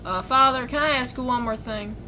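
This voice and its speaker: A woman's voice: a little high, a little fast, background noise, natural voice. A woman voicing an earnest, anxious young knight, speaking in a formal, stilted way to their father.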